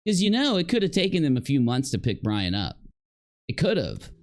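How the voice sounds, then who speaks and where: A man's voice: medium pitch, wildly swooping pitch, a little fast. A man giving unscripted reaction commentary as a streamer, arguing and accusing as he goes. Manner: argumentative, accusatory. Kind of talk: unscripted reaction commentary over another person's video.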